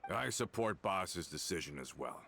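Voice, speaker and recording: A man's voice: low voice, expressive, moderate pace, creaky, sharp tone, slight background noise, exaggerated voice. A man playing a loyal henchman in a video game, formal, grave and earnest. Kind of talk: animated video game voice acting.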